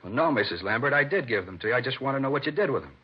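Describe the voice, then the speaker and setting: A defensive American civilian in an old radio crime drama. His husky voice runs fast and conversational, ready to bristle when questioned. The vintage recording sounds dull and limited. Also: mid-range voice, expressive. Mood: eager, explaining. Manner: conversational, indignant when pressed. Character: defensive, talkative male civilian under police questioning. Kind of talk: 1950s radio drama acting.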